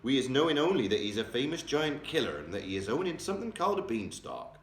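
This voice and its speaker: A man voicing a kindly big male giant who talks in a hushed way with odd, mangled grammar. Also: medium pitch, very animated, quick pace, a little hiss or hum, pitched above the performer's own voice, natural voice. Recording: roomy echo, distant mic.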